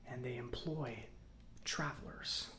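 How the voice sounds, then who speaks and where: A man playing a chatty, easygoing robot mechanic in an ASMR roleplay, speaking softly in a breathy half-whisper with a rambling, conversational manner. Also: mid-range voice, steady tone, slow pace, a little hiss or hum. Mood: calm, chatty. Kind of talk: soft-spoken ASMR roleplay.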